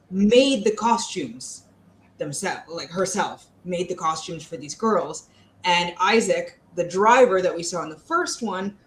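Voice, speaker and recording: A woman in her thirties chatting casually and bluntly as she co-hosts a book discussion, with a sardonic streak. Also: lowish voice. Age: 30s. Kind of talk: casual chat, book commentary. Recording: roomy echo, faint background noise.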